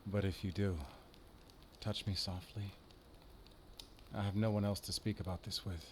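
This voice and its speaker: A man playing a brooding, tender but guarded werewolf hunter in an intimate audio roleplay, speaking softly and haltingly. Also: fairly low pitch, level tone, a little hiss or hum, natural voice. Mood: tender, wry. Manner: soft, halting, intimate, earnest delivery.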